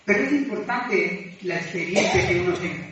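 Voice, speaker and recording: A man preaching informally, exhorting and confessing, halting and self-deprecating, often repeating his phrases. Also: high voice, expressive, a bit bright, slight background noise. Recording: echoey room, distant mic, muffled, music behind.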